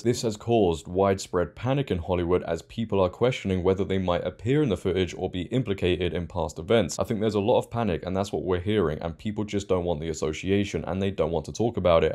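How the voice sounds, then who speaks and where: A man's voice: deep voice, dark tone. A man hosting online news commentary, speaking in an opinionated, emphatic way and repeating key words.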